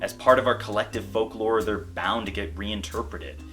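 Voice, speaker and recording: A man's voice: lowish voice, normal speed, fairly bright tone, soft background music. An American man hosting a video essay, reading scripted narration. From United States.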